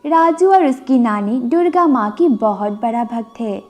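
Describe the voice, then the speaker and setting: A woman's voice: on the high side, lively intonation, a little slow, darkish tone. A woman narrating a scripted moral bedtime story as a storyteller. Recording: music bed under the voice. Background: background music.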